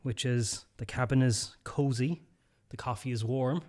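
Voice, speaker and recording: A man's voice: medium pitch, fairly even intonation, a little slow, a little mellow. A man co-hosting a podcast in a wooden cabin, with dry teasing banter. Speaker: podcast co-host. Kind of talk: casual chat.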